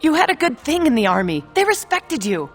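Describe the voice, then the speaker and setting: An actress playing a feisty, scornful dwarf woman in a video game, with a sharp, scolding manner. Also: high voice, sing-song, a little fast, faint music behind. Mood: hurt, bitter. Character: feisty, scornful female dwarf, exasperated partner. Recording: long hall reverb.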